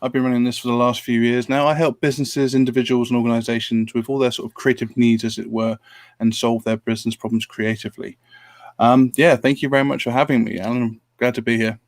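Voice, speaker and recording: Male creative consultant, calm and modest, polite introduction, mid-pitched voice, dry close mic. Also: fast talker, no room sound. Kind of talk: live stream panel introduction.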